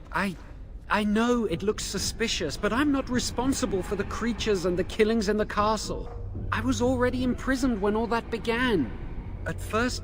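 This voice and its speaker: A man's voice: high voice, a little hiss or hum, natural voice. An actor playing a nervous, remorseful young male mage held in a dungeon, in a video game. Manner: anxious, remorseful, pleading.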